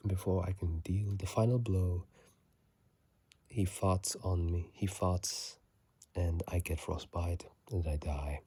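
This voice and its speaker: Breathy, hushed ASMR whisperer, close and dry. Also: low-pitched, steady tone, slow, no room sound. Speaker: video creator and host. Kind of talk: whispered ASMR reading with casual game commentary. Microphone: phone mic held close.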